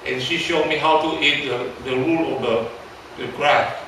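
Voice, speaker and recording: An older man in his seventies speaks with a Taiwanese accent, preaching in a halting, repetitive way and turning to his listeners with questions. His voice sits at a middle pitch and is picked up from a distance in a very echoey church hall, with clear noise underneath. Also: a bit bright. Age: 70s. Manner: halting, repeats words, asks the audience questions. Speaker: pastor. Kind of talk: storytelling sermon.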